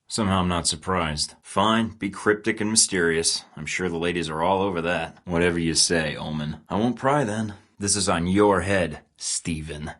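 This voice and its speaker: Cocky spy from a video game, deep low voice, dry sarcastic one-liners, stays cool. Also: male voice, a bit bright. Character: wisecracking young male secret agent, cool under pressure. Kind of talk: game voice lines.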